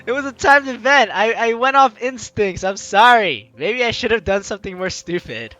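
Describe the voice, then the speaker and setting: A man hosting a game playthrough, chatting in animated asides as he comments and reads along. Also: sits high, moderate pace. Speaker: let's play host. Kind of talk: game commentary mixed with reading dialogue aloud.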